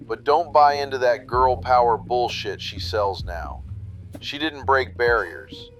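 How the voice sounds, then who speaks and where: Cynical, half-amused veteran wrestler. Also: male voice, expressive, a little slow, fairly bright tone, faint music behind, low-quality recording. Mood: bitter, half amused. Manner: low-key, bitter, insinuating. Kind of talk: noir audio drama monologue.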